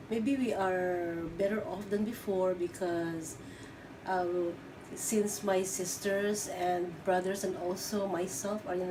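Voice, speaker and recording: Brief: middle-aged woman from the Philippines, hesitant interview answers, recorded from a distance with clear background noise. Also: on the low side. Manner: halting, many fillers and restarts. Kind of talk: oral history interview.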